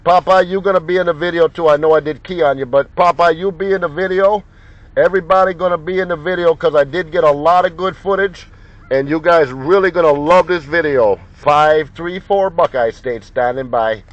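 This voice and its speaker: Higher-pitched CB operator, muffled sound. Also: male voice, a little fast. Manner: repeats names and call phrases. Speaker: CB radio operator recording contacts. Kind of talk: radio contact chatter with repeated call signs.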